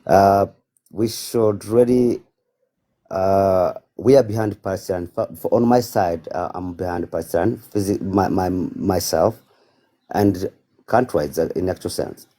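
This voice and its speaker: A man calling in to a live stream, talking casually and haltingly as he searches for words. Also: deep voice, warm tone. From Africa. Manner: halting, searching for words, non-fluent phrasing. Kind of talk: casual, unscripted political talk.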